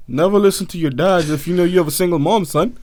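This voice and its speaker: A young man co-hosting a podcast, hype and animated, teasing his co-host and laughing often. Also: high voice, fast, no room sound. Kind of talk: casual chat.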